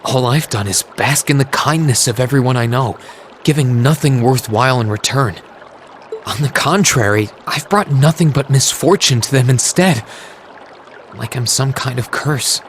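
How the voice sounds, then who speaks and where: An actor voicing an earnest, self-doubting teenage student in a video game, speaking sincerely and reflectively. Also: male voice, medium pitch, normal speed, a little hiss or hum, lightly acted. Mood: self-loathing, dejected. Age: young adult. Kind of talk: video game cutscene voice acting. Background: trickling water ambience.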